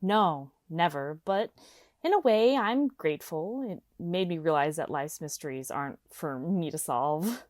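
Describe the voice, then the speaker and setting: A woman playing an earnest radio caller who tells an eerie personal story in a deliberate, dramatic way. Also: low voice, animated, darkish tone, no echo, studio quality. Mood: reflective. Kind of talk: scripted first-person storytelling.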